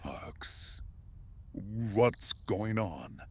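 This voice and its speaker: A man voicing a grave, terse warrior commander in an audio drama story, with a gravelly, husky voice. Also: fairly low pitch, lively intonation, very slow, full character voice. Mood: hushed, uneasy. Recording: music under the voice. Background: music.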